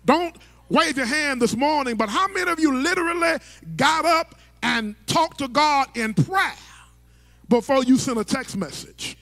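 A man's voice: very high voice, expressive, unhurried, creaky, bright, forceful tone. A middle-aged male pastor preaching from a church stage into a handheld mic, with rhythmic sing-song phrasing and stammering restarts. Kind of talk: sermon. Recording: long church hall reverb.

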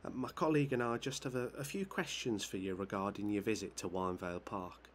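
An actor playing a measured, slightly hesitant male police chief questioning someone in a video game. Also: on the low side, close to an everyday voice. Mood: measured, official. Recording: noisy with background ambience.

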